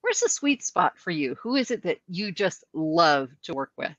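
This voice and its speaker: A woman's voice: fairly low pitch, sing-song, normal speed, fairly bright tone, dry recording. Woman interviewer, dry muffled sound. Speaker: host, interviewer. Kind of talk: conversational interviewing.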